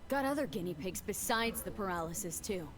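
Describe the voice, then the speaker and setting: A woman's voice: medium pitch, animated, a little fast, a bit bright, slight background noise, close to an everyday voice. An actress playing a tough, streetwise young female mercenary in a video game, speaking in terse, blunt, urgent phrases. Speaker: game character, protagonist V.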